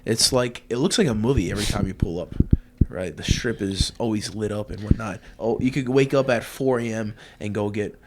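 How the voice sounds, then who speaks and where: Relaxed man with a low voice. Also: a little fast, heavy background noise. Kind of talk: casual podcast chat.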